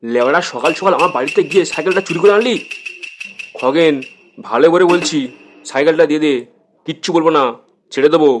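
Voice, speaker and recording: A man's voice: fairly high pitch, fast, pauses often, slight background noise, natural voice. A man playing a grumpy, foul-mouthed father in a comedy cartoon, scolding in an exasperated way. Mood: agitated.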